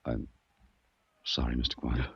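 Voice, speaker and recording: An actor playing a calm, world-weary adventurer in a 1940s radio drama, with an understated, hard-boiled manner. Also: male voice, a bass voice, a little slow, gravelly, slightly rough, no echo, exaggerated voice. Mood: subdued. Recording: muffled old radio recording.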